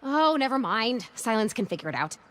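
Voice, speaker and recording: An actress voicing a plucky young heroine in an animated game, earnest, expressive and wry. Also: female voice, a little high, a little fast, no room sound. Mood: earnest, puzzled. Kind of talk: animated game cutscene acting.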